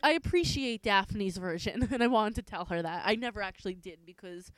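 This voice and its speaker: Chatty young woman with a smooth voice. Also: medium pitch, lively intonation, a little fast, no room sound, low-quality recording. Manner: chatty, rambling with fillers and restarts. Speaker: podcast co-host. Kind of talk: casual chat.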